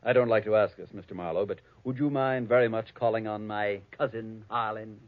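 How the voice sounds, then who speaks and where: An actor playing a prim, conniving, avaricious heir, speaking formally and precisely in 1940s radio style. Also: male voice, on the low side, fairly even intonation, brisk, no echo, lightly acted. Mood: precise, superior. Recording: thin, phone-like old radio recording.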